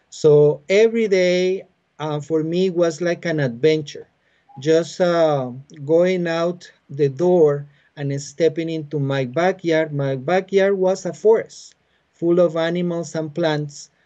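A man in his forties from Costa Rica with a Spanish accent, a biologist calmly explaining his work in a halting way with many fillers, speaking from home on a video call. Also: on the high side. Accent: Costa Rican Spanish. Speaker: biologist and university teacher. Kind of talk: explanatory interview answers. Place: home room with bookshelves. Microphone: laptop webcam on a video call. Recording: muffled video call audio.

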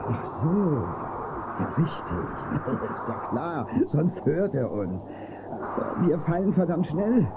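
A man's voice: on the high side, sing-song, slow pace, long pauses. A man playing a nervous, boastful little deputy sidekick with a stammering, whiny comic manner in a German children's audio drama. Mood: frightened. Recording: tinny, noisy old cassette recording.

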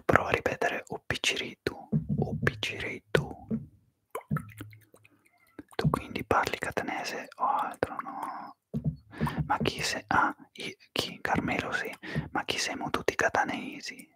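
A man whispering through a live ASMR stream, softly greeting viewers and slowly repeating their names into a close microphone. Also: no room sound. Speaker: ASMR livestreamer.

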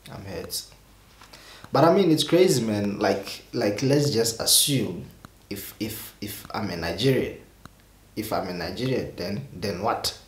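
A man's voice: lowish voice, lively intonation, unhurried, roomy sound. A young man talking casually to camera in a small room, exasperated and rambling, often restarting his words. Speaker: vlogger. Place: small indoor room with purple lighting.

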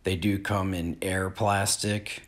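A man in his forties giving a relaxed, plain-spoken disc golf lesson outdoors in an open field, recorded on a nearby camera. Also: deep voice, slow. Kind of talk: outdoor instructional talk.

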